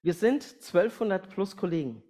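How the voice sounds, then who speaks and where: A man's voice: high voice, expressive, moderate pace, dark tone. A man speaking to a congregation as a guest mission speaker, plain-spoken, addressing listeners directly with questions and everyday illustrations. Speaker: missionary aviation organisation speaker, guest preacher. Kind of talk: sermon and mission presentation to a congregation.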